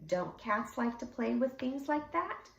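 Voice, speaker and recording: A woman voicing a brisk, spirited, bossy old granny in a children's story, firm and cheerful. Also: high voice, animated, vocal fry, fairly bright tone, echoey room, pitched above the performer's own voice, heavily acted. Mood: helpful.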